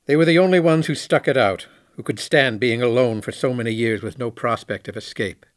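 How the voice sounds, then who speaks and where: Man playing reflective station commander, mid-pitched, measured, candid, dry recording, science fiction radio play. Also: no echo, natural voice. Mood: explanatory, reflective. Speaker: actor in an audio drama. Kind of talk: science fiction audio drama acting.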